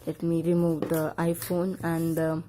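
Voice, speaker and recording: Lower-pitched, mellow woman presenting an unboxing. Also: completely flat delivery, a little slow, slight background noise. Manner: simple, repetitive short phrases while demonstrating. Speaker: product review vlogger. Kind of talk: casual unboxing and demonstration.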